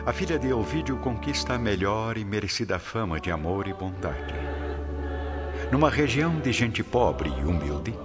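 A man's voice: fairly low pitch, lively intonation, moderate pace. A man with a Brazilian accent, giving dramatic scripted narration for a radio drama. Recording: dull lo-fi audio under loud music. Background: loud music.

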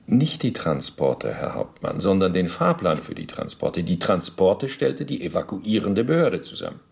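Evasive, low-voiced, gravelly Nazi bureaucrat. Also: male voice, big pitch swings, moderate pace, darkish tone, exaggerated voice. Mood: pedantic, evasive. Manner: formal, pedantic, deferential, self-justifying. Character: evasive, bureaucratic former Nazi official under interrogation. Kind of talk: German radio docudrama acting, interrogation dialogue.

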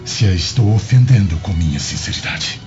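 An actor playing a courtly, lovestruck Roman governor in a radio drama, speaking ornately and theatrically. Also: male voice, fairly low pitch, expressive, normal speed, lightly acted. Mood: pleading, ardent. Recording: dull lo-fi audio with music.

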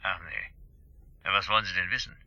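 A sceptical police inspector in a radio drama. The voice is fairly low and strikingly forceful, laconic and sardonic. It is recorded very dry, with no room around it. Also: male voice, brisk, creaky, dry recording, muffled recording, full character voice. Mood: dry, guarded. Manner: laconic, sardonic, matter-of-fact. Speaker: detective.

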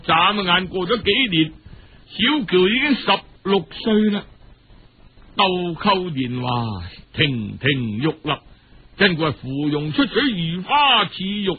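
A man telling a traditional story in a colloquial, dramatic, unhurried way. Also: on the high side, slightly rough. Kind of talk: traditional Cantonese storytelling narration. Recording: muffled, phone-like old radio recording.

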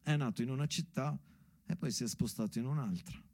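A man's voice: medium pitch, fairly quick, a little mellow, no echo, studio quality. A man delivering a storytelling theatre monologue as if to his daughter, with conversational asides and dramatic emphasis. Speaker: stage actor and monologuist.

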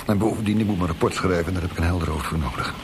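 Very deep, smug male interrogator, acted. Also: racing, very noisy, lightly acted. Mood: smug, intimate. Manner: smug, chatty, suggestive. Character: smug, callous male interrogator, calmly cruel. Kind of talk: radio drama acting.

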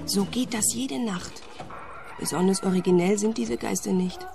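Actress as a composed young tenant in a radio play, matter-of-fact, soft music under. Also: female voice, mid-range voice, normal speed, creaky, lightly acted. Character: young woman tenant, calm, unafraid of ghosts.

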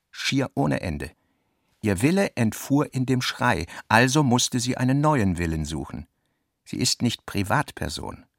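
Guy narrating a literary radio piece, low creaky voice, super dry sound. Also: dry recording. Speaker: audio drama narrator.

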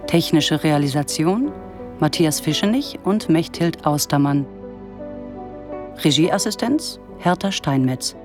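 A woman announcer reading radio drama titles and credits in a formal, scripted style. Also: low-pitched. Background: music.

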